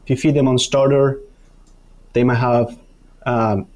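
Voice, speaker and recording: A man with an Argentine accent, a native Spanish speaker, answering interview questions as an academic expert in a halting, explanatory way. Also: mid-range voice, flat delivery, darkish tone. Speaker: guest, university dairy researcher.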